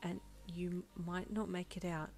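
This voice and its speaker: A woman voicing a frightened but caring female doctor in an audio story, speaking haltingly and pleadingly. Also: lowish voice, steady tone, darkish tone, close to an everyday voice. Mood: nervous, hesitant. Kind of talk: acted dialogue in an audio story.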